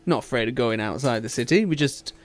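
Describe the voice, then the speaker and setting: A man voicing a gruff, dismissive male city guard who barks short orders. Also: mid-range voice, animated, a little fast, lightly acted. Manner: curt, dismissive, bossy.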